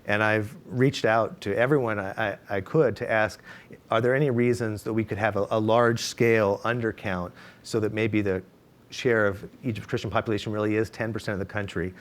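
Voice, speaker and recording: A man's voice: fairly low pitch, fairly even intonation, a little fast. A man on a discussion panel, laying out research methods in a careful, explanatory way with many fillers and restarts.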